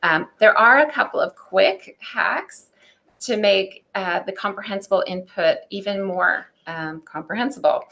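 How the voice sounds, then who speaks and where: A woman's voice: medium pitch, a little slow, gravelly, sharp tone. A middle-aged woman teacher giving an upbeat, step-by-step webinar presentation on a computer mic. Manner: upbeat, explanatory, walks through steps.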